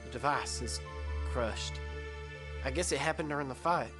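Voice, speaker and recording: A woman's voice: a bass voice, moderate pace, music in the background. A woman voicing a cocky, chatty, folksy male ace pilot in a space audio drama. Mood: casual. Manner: breezy, boastful, folksy.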